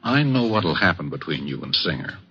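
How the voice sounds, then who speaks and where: Exasperated frontier lawman, American, low but very animated, blunt, emphatic, old radio western, muffled vintage sound. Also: brisk, a little hiss or hum. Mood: urgent warning. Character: gruff, exasperated western town sheriff, protective and insistent. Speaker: actor. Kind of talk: old-time western radio drama acting. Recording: dull vintage radio recording.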